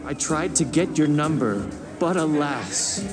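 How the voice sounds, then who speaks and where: A man playing an earnest, lovelorn college student reciting poetry in a video game, deliberate and theatrical. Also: fairly high pitch, expressive. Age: young adult. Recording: music behind the voice.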